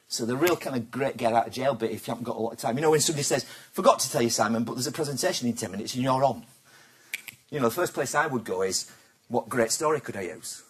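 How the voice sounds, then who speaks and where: A man giving a business talk to a live audience, chatty and teasing, throwing questions at the crowd. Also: medium pitch. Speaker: presenter.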